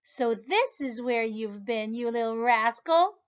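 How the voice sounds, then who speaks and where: A woman voicing an eager little girl in a storybook, speaking high and excited. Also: slow, clean tone, muffled recording.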